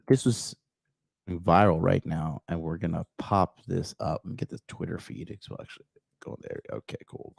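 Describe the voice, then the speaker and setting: Man, raspy slightly nasal voice, lively up-and-down delivery, lowish pitch, blunt and crude, livestream host. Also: dry recording. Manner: blunt, crude, talks back to live chat. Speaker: podcast host. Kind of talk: livestream commentary reading an article and chat.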